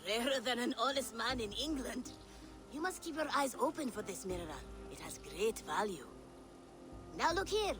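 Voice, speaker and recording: An actress voicing a shrewd, self-assured female merchant in a video game, with smooth, formal salesmanship. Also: fairly high pitch, very animated, normal speed, music in the background. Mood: sly, inviting.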